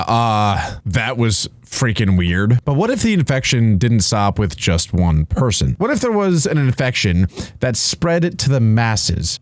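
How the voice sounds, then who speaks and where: A man's voice: mid-range voice, dry recording. A man giving casual, slangy reaction commentary as a video host. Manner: slangy, addresses viewers as bro and boys. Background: music.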